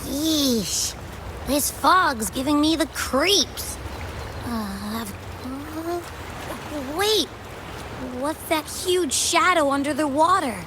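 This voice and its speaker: Squeaky, feisty little creature. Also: female voice, drawn-out words, noisy background, heavily acted. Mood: nervous. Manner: sassy, excitable, childlike. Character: small, round, feisty talking creature companion. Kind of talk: video game voice acting. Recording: music under the voice.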